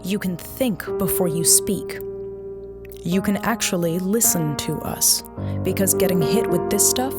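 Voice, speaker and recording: Woman as a slow, reproving female officer, piano underneath. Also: on the low side, close to an everyday voice. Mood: stern. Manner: deliberate, earnest lecturing. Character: firm, reproving female spaceship officer, earnest and hurt. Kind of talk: audio drama acting.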